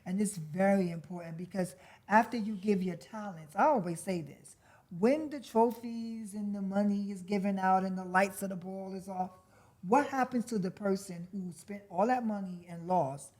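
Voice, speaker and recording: A woman co-hosting a podcast, speaking in a deliberate, reflective way and posing rhetorical questions. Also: mid-range voice, a little mellow. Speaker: podcast co-host. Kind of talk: casual chat.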